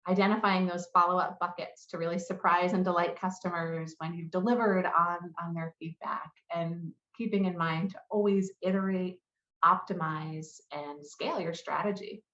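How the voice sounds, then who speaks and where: A woman's voice: fairly low pitch, steady tone, moderate pace, fairly bright tone. Woman presenter in an echoey room. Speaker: conference presenter, head of customer marketing. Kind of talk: business presentation and audience Q&A. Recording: roomy echo, slightly muffled sound.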